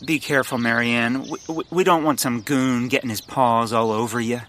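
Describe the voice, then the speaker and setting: An actor playing an earnest, pompous, bumbling bird enthusiast in a comedic period audio drama, grandiose and stammering. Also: male voice, medium pitch, steady tone, moderate pace, fairly bright tone, slight background noise, lightly acted. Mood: sheepish, earnest.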